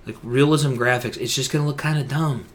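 A man's voice: mid-range voice, steady tone, fairly quick, slight background noise. A young adult man co-hosting a podcast, talking informally and slangily in casual conversation. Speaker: podcast co-host. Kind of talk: casual chat.

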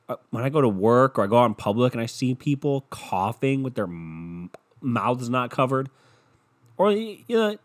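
A stuffy-nosed young man with a sore throat, hosting a podcast alone and rambling in a loose, profane, joking way. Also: mid-range voice. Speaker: podcast host. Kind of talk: casual solo podcast rant.

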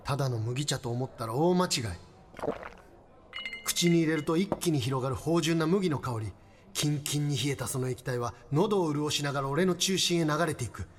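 A man acts as a lovestruck salaryman in a comic radio drama. His fairly high voice carries a Kansai accent, with a grandly earnest, awkward delivery. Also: normal speed, slight background noise, lightly acted. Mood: rapturous, earnest. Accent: Kansai Japanese. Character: earnest lovestruck salaryman regular at a tea shop. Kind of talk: comedic radio drama acting with dramatic monologue.

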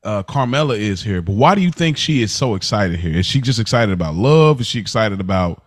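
A man in his thirties or older with an American accent, hosting a casual TV recap chat and thinking out loud. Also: fairly low pitch, lively intonation, fairly quick. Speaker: podcast host.

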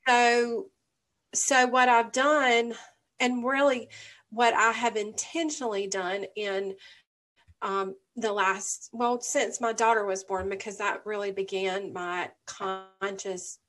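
A woman's voice: mid-range voice, steady tone, slow, a bit bright. A middle-aged woman speaking thoughtfully and openly, searching for her words in a casual conversation.

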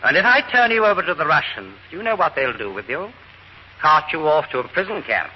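An actor playing a stern military major pressing a suspect for answers in an old radio drama. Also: male voice, fairly high pitch, big pitch swings, fairly quick. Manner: firm and pressing. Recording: muffled old radio broadcast with faint noise.

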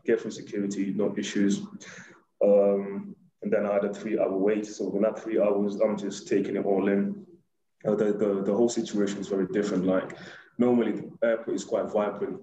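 Kenyan-born man, low flat delivery, blunt storyteller, roomy echo on the recording. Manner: blunt, plain-spoken, fond of repeating himself. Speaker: guest telling his travel story. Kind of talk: casual spoken storytelling. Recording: echoey room.

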